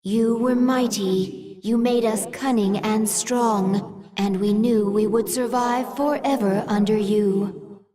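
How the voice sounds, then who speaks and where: An actress playing a calm, devoted alien advisor creature who speaks in a measured, solemn way in a game cutscene. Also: female voice, medium pitch, close to an everyday voice. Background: music.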